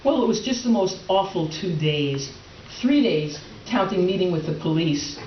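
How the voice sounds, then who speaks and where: Casting: woman as resentful middle-aged wife, stage comedy; dry, over-polite sarcasm, animated intonation, slightly dull recording. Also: fairly low pitch, moderate pace, close to an everyday voice. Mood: guilty, candid. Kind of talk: comic readers theater acting and narration. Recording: roomy, distant, dull, faintly noisy stage recording.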